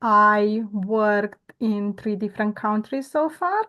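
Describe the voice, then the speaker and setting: A woman, a guest telling her story earnestly and reflectively, pausing often on "uh" and "um". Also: medium pitch, lo-fi sound. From Romania. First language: Romanian. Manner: earnest, reflective, with frequent "uh" and "um" pauses. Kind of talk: conversational interview storytelling.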